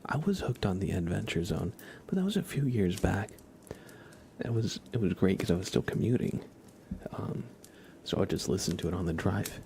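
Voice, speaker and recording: A man hosting an ASMR livestream, chatting softly and casually in a hushed, unhurried way that often slips into whispering. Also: deep voice, lively intonation, vocal fry, a little hiss or hum. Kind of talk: soft ASMR livestream chat.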